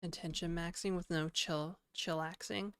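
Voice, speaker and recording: A hoarse woman streamer thinking aloud casually as she codes live, swearing and full of fillers. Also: fairly low pitch, fairly even intonation, normal speed, dry recording, studio quality. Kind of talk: live coding stream commentary. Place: home apartment.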